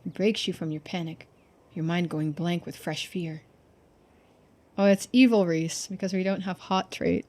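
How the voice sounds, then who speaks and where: A woman's voice: on the low side, steady tone, moderate pace, a little mellow. A woman game streamer reading a visual novel aloud, switching into playful, chatty live commentary.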